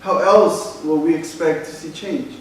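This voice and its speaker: A man's voice: fairly high pitch, fairly even intonation, a little slow, distant mic, close to an everyday voice. Guy playing a fired up young grandson onstage, spanglish, really echoey room, faint noise behind. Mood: troubled, resolute. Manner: impassioned, mixes English and Spanish. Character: upset, passionate young Mexican American grandson. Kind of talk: staged reading, emphatic theatrical delivery. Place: theater stage, recorded from the audience.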